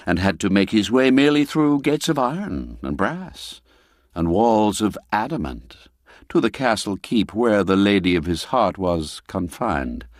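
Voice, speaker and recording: A man narrating a classic literary story in a measured, deliberate and expressive storytelling manner. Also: on the low side, no echo, clean studio sound.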